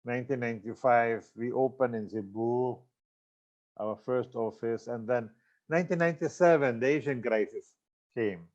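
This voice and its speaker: Older man from Austria, seminar presenter, mid pitch, halting with fillers, slightly dull lo-fi audio. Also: a little mellow. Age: 60s. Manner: loose, halting delivery with many fillers and self-corrections. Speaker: franchise consultant giving a seminar.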